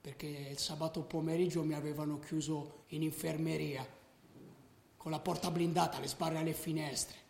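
A man's voice: on the high side, level tone, gravelly, a little mellow, slight background noise. An actor playing a restless, unrepentant young delinquent football fan, telling his story colloquially and matter-of-factly in a radio drama. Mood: matter-of-fact bravado. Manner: colloquial, matter-of-fact, halting in places. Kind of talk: radio drama confessional monologue. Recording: echoey room sound.

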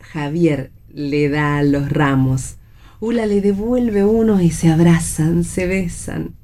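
A woman's voice: low-pitched, slow pace, mellow. A woman reading stage directions as scripted narration for a play.

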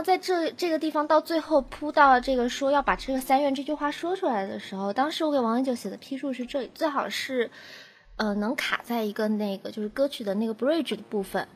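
A woman's voice: sits high, animated, brisk. A woman from an audio drama team in a casual group chat, insistently repeating short phrases.

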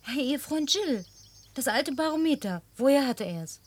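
A woman's voice: high voice, animated, moderate pace. An actress in a German radio play, voicing an inquisitive, suspicious, solitary woman with a probing way of talking. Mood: probing, guarded. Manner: probing, questioning.

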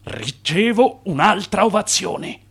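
A man in a radio play voicing an eager, smooth-talking immigrant swindler who narrates himself and makes speeches. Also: high voice, lively intonation, takes it slowly, a bit bright, exaggerated voice. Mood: rousing, impassioned. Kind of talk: radio theatre acting with self-narration.

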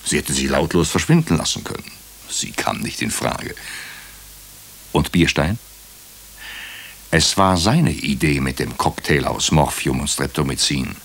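An actor playing a brooding hospital doctor who narrates a radio crime drama in clipped, deliberate phrases. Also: male voice, rumbling low, lively intonation, fairly bright tone, breathy edge, full character voice. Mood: doubting, pensive. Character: brooding male hospital doctor recounting a murder case. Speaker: actor narrating as the lead character.

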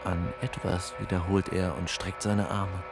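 A man's voice: sits low, little pitch movement, a little slow, vocal fry. A man narrating a literary radio play, telling the scene like a story. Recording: music under the voice.

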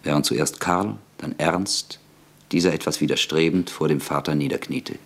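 Very deep-voiced male narrator. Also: normal speed, slightly rough. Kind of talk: scripted literary narration in a radio drama.

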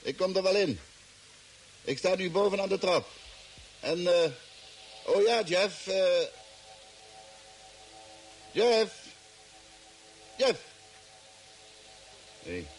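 A lost, confused spaceman in a radio play. His fairly high voice moves slowly and haltingly but swings widely, with music behind. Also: expressive, full character voice, low-quality recording. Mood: casual. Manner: halting, questioning, agitated. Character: bewildered male astronaut lost on a strange planet. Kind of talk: Dutch radio drama acting.

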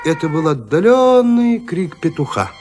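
A high-pitched, dramatic male storyteller. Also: very animated, a little slow, darkish tone, a little hiss or hum. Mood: suspenseful. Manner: theatrical, suspenseful delivery. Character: storyteller of a gothic horror tale, suspenseful. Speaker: narrator. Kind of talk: dramatic scripted narration of a gothic horror tale. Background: music.

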